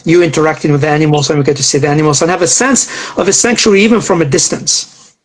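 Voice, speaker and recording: A man's voice: fairly high pitch, fast. A man hosting a casual live video interview, reacting enthusiastically and with frequent fillers. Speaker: host.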